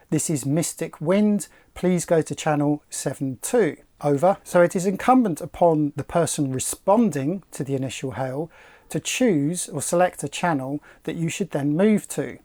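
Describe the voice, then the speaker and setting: A middle-aged man presenting to camera, explaining things in a measured, articulate, slightly formal way. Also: on the high side, darkish tone. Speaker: sailing channel presenter.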